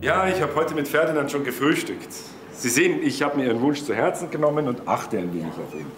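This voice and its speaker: Man acting as flattering gentleman, very animated, effusive, echoey noisy room, crime drama. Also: medium pitch, a little fast, natural voice. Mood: obliging. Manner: effusive, obliging. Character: obliging, flattering gentleman charming a countess. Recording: echoey room with clear noise.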